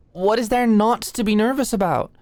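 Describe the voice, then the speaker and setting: A woman's voice: mid-range voice, measured pace, a little mellow, dry recording, close to an everyday voice. A young woman acting an anxious, flustered medical student and study buddy in an ASMR roleplay, rambling and stammering apologetically. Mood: nervous, stressed.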